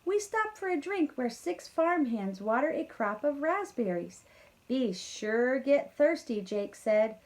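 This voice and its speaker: A woman reading a children's story aloud in an expressive, encouraging way, talking directly to young listeners with casual asides. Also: high-pitched, takes it slowly. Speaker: teacher reading a bedtime story to pupils.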